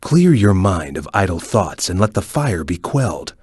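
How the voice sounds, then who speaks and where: A man playing a calm, serious ninja chameleon in a video game, speaking in a measured, stoic and focused way with a low, husky voice. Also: no echo, clean studio sound, full character voice. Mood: serious, watchful. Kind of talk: video game voice lines, hints and tutorial instructions.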